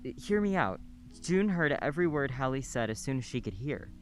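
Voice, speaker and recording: An actor playing a kind neighbor who reassures and gently persuades. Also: male voice, medium pitch, very animated, a little fast, a little hiss or hum, natural voice. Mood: reassuring. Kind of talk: radio play acting.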